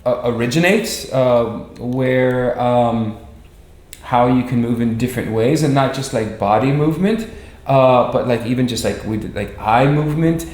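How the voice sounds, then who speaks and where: A man's voice: mid-range voice, a little mellow. Male interview guest, 40s, American, casual and reflective, feeling for his words, roomy echoing sound. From Miami, United States. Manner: halting, searching for words, repeats himself. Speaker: interview guest, performer and director. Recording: echoey room.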